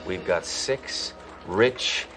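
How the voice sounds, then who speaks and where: An actor playing a macho police detective who talks in a gruff, sarcastic, deadpan way. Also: male voice, low voice, drawn-out words, soft background music, full character voice, low-quality recording. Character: gruff macho cop, adult man. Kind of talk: sitcom acting.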